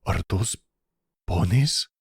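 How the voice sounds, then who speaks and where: A man voicing an excitable, naive and blunt boy in an audio roleplay, stammering and gushing. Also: sits low, slow pace, slightly rough, dry recording, studio quality, heavily acted. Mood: awed, excited.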